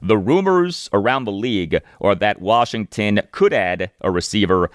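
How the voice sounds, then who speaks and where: A man hosting a sports podcast solo, giving commentary and reading out messages and quotes in emphatic, deliberate phrasing. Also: lowish voice, expressive, no echo, studio quality.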